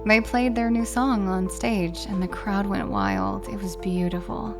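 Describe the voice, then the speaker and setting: An actress playing a grieving, loving letter writer in an audio drama, speaking emotionally with hesitant, repeated phrases. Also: female voice, mid-range voice, lively intonation, measured pace, music in the background. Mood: excited, fond.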